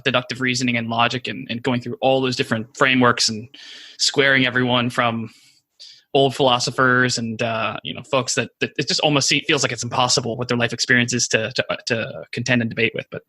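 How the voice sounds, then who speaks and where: Bright-voiced host on a dry mic. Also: male voice, medium pitch, steady tone, breathy edge, dry recording. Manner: encouraging, quick affirmations. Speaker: podcast host. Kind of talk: interviewing a guest.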